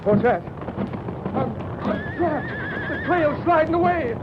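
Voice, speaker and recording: A noisy, muffled vintage radio recording of a terrified Western cowboy in peril. His voice is very high and swoops wildly as he cries out urgently in a folksy American drawl. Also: lively intonation, slow pace, exaggerated voice. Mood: desperate, panicked. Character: frightened male rider trapped on a crumbling cliff trail. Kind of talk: old-time radio western acting. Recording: muffled old radio, loud noise, horse sounds.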